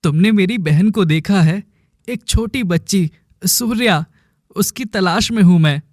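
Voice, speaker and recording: An earnest young village hero from a cartoon tale, acted by a man, speaks in a fairly high male voice. His delivery is slow, dry and dramatic, that of a determined, devoted brother. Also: no room sound. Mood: searching, uncertain. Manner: dramatic, vowing, deliberate. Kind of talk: cartoon story acting.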